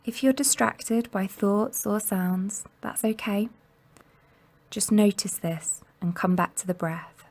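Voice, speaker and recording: A woman leads a guided mindfulness meditation in a soothing, gentle way. Her mid-range voice often settles into a creaky fry. She gives each instruction without hurry and leaves generous pauses. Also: medium pitch. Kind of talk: guided body scan meditation narration.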